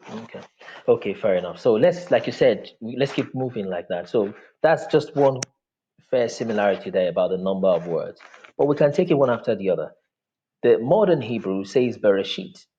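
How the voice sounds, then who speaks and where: A man whose first language is Yoruba, a guest explaining things step by step in an unhurried, measured way over a video call. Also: on the low side, expressive, mellow, muffled recording, a little hiss or hum. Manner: measured, explains step by step with frequent okay and mm-hmm. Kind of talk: explanatory conversation.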